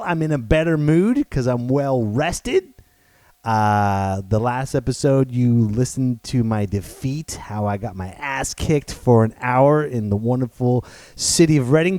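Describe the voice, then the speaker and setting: A man hosting his own podcast, talking loud and playful in a rambling way with many restarts. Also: medium pitch, lively intonation, a little slow, no echo. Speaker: podcast host. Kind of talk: casual solo podcast monologue.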